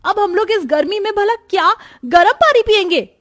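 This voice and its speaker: A woman's voice: very high voice, animated, normal speed, a bit bright. A woman playing an indignant young sister-in-law in a cartoon family story. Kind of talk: cartoon moral story dialogue.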